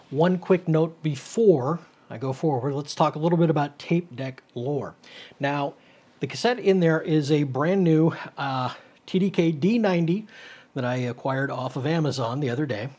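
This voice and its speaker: A middle-aged man with a voice pitched a little above average. He presents in a casual, explanatory hobby-video style. His delivery is informal and a bit rambling as he walks through each step. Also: moderate pace, darkish tone. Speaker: host of a retro tech video series. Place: small home studio, indoors.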